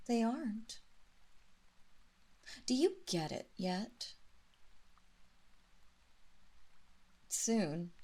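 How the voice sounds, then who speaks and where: A woman voicing a cruel, seductive vampire mistress in an intimate audio roleplay, teasing and menacing the listener in a breathy, taunting way that drifts into whispers. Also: medium pitch, dark tone, heavily acted.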